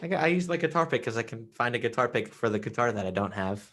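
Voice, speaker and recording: Man with a bright, forceful voice. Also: medium pitch, lively intonation, very fast. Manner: playful, repeats words when starting sentences. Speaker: podcast host. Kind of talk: casual chat.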